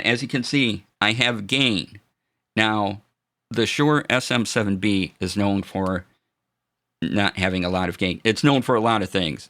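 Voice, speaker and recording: A man with a low voice explaining audio gear in a relaxed, halting live-stream demo, full of fillers and restarts. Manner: greets chat viewers warmly. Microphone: studio dynamic mic.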